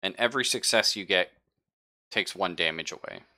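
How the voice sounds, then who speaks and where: A man's voice: low voice, normal speed, pushed and bright. A man acting as game master, casually explaining rules and narrating the action in an informal, jokey way. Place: online game session over voice chat.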